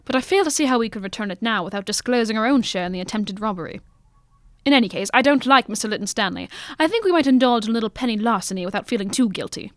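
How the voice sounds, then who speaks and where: A shrewd gentleman detective acted by a woman in a radio mystery drama, composed and commanding. The voice is on the high side and recorded close and dry, with formal, measured, old-world phrasing. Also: expressive, dry recording.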